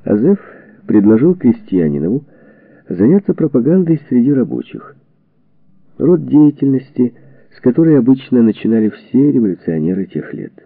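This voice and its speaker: A man's voice: medium pitch, very animated, normal speed, vocal fry, no echo. Man narrating through narrow, phone-like sound. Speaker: audiobook narrator. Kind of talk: scripted narration of a historical book. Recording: dull phone-like narrow-band sound, dry.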